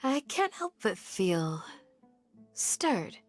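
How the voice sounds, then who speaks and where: A woman playing an earnest, supportive young village guardian in an anime game, speaking in a measured, formal, sincere way. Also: medium pitch, big pitch swings, soft background music, exaggerated voice. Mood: earnest, moved.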